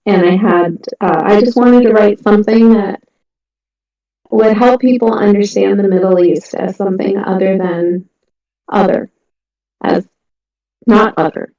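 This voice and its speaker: A woman's voice: mid-range voice, steady tone, a little slow, pauses often, dark tone. Earnest woman on an echoey voice call. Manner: earnest, with frequent ums and restarts. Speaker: indie author guest. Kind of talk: casual talk about her writing. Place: home, on a voice chat. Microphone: computer mic on a voice call. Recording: echoey, distant, muffled voice-chat audio.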